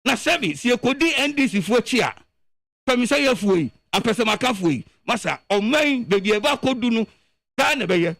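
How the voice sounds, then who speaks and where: A man with a Ghanaian accent giving animated political commentary on the radio, emphatic and full of repeated words. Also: very high voice, a little fast, vocal fry, no echo.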